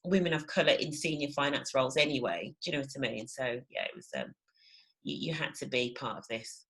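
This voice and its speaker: A woman hosts an interview in a notably low voice. She talks in an eager, loose way, padding her sentences with fillers as she introduces and questions her guest. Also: lively intonation, fairly quick, slightly rough, low-quality recording. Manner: warm, enthusiastic, filler-heavy. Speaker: interview host. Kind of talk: casual interview conversation.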